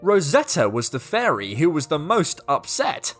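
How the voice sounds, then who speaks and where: A woman reading a children's story as scripted narration, expressive and deliberate, with dramatic pauses. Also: low-pitched, soft background music.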